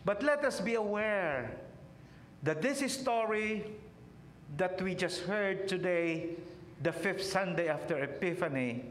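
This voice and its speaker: Slow, high-voiced preacher in a reverberant church. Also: male voice, lively intonation. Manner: slow, measured preaching with phrases falling away at the ends. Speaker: priest. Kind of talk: sermon. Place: church sanctuary, at a pulpit. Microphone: pulpit microphone on a stand. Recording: roomy church reverb, faint low rumble.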